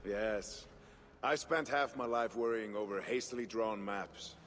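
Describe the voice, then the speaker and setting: An earnest Viking leader. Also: male voice, lowish voice, a little slow, a bit bright, long reverb tail, slight background noise. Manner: earnest, welcoming. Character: Viking leader planning an aid voyage, warm host. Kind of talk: video game cutscene acting.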